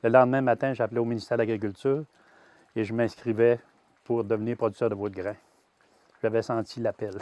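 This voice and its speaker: A Québécois man in his sixties tells his story in a plain, middle-pitched voice, halting now and then on fillers and false starts. The sound is dry and close, with no room echo. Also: level tone, darkish tone. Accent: Québécois French. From Quebec. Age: 60s. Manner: hesitant, many "euh" fillers and restarts. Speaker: grain-fed veal farmer. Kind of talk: personal testimony.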